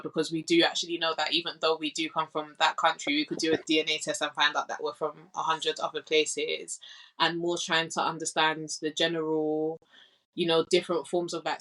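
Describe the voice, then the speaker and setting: A woman's voice: deep voice, fairly bright tone, poor audio. London woman, earnest interview guest. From England. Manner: thoughtful, earnest, with frequent "like" and "you know". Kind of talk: casual conversational interview.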